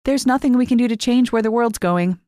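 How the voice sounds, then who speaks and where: A guarded young woman played by a female story reader talks in curt, skeptical lines of audiobook dialogue. Her pitch is raised and her outlook pessimistic. The recording is very dry. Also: a little fast, dark tone, no room sound, natural voice.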